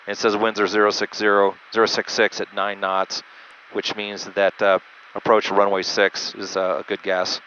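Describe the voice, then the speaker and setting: A man who pilots a jet, giving an unscripted, methodical instructional walkthrough with halting speech over a cockpit headset intercom. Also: on the low side, flat delivery, sharp tone. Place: small jet cockpit in flight. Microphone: aviation headset through intercom. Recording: muffled band-limited cockpit headset audio, engine noise.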